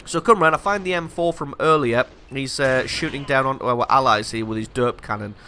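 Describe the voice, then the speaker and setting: Stuffy guy with a cold, bright punchy voice, chill self-mocking game commentary. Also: mid-range voice, brisk, slight background noise. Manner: casual, self-deprecating, explanatory. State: congested from a cold. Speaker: gaming channel host. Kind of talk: gameplay commentary.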